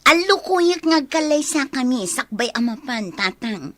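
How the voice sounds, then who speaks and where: A woman's voice: high voice, big pitch swings, a little slow, pushed and bright, dry recording, exaggerated voice. A woman playing an exaggerated village woman in a comic radio drama. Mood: exaggerated nagging.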